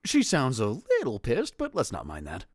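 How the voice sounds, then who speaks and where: A man's voice: medium pitch, wildly swooping pitch, normal speed, slightly rough, dry recording, clean studio sound. A man playing an arrogant, theatrical male college student who narrates a visual novel fan dub in a pompous way. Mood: wry narrating. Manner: pompous, dramatic delivery with exclamations.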